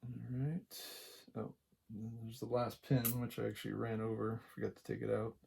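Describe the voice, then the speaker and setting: A quiet-voiced man giving a casual, unhurried hands-on tutorial, talking along as he works, with a gravelly voice. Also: fairly low pitch, level tone, slight background noise. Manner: unhurried, talks along while working. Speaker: craft tutorial host.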